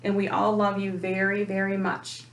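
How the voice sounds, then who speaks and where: Woman as mother rabbit, caring, tender, mid pitch, storybook, echoey. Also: monotone, normal speed, fairly bright tone, close to an everyday voice. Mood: loving. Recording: echoey room.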